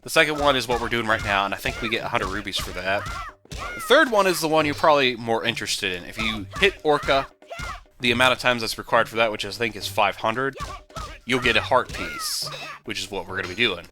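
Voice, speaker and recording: A man giving casual, jokey, rambling commentary on a video game he is playing, with sudden loud whoops. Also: medium pitch, expressive, a little fast. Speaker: let's play commentator. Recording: game music behind voice.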